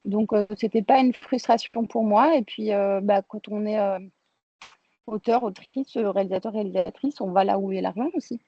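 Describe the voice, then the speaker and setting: A woman's voice: mid-range voice, moderate pace, no room sound. A woman from France speaking as an interviewed film director, reflective and informal, thinking aloud and rephrasing as she goes, on slightly lo-fi audio.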